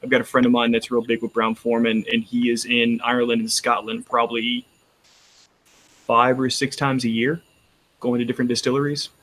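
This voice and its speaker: A man's voice: medium pitch, little pitch movement, a little fast, fairly bright tone, rough recording. A man with a Texan accent chatting casually on a roundtable, rambling with frequent restarts. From Texas. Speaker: roundtable panelist. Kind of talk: casual chat.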